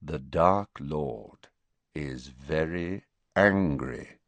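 A man voicing a cold, guarded professor who speaks flatly and slowly in an audiobook reading. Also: low voice, no echo, full character voice. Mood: measured. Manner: flat, slow, measured, icy.

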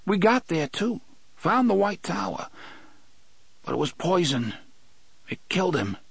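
A man voicing a tearful young man who is angry and pleading, speaking in a quick, strained voice raised above the narrator's own. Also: high-pitched, sing-song, vocal fry, breathy edge, no echo, pitched above the performer's own voice, full character voice. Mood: grieving. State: crying.

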